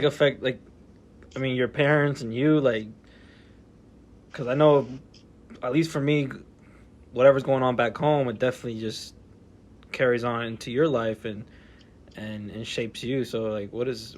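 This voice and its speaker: A man hosts a casual podcast in a relaxed, slangy way. His voice sits at an ordinary pitch. He asks long, meandering questions. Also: moderate pace, long pauses. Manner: laid-back slang, animated when quoting. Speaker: podcast host.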